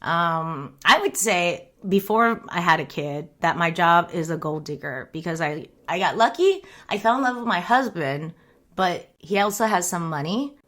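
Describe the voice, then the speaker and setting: A woman's voice: on the low side, expressive, normal speed, a bit bright. A young woman from the United States chatting casually on a livestream, rambling and candid, often breaking into laughter. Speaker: streamer. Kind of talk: casual chat.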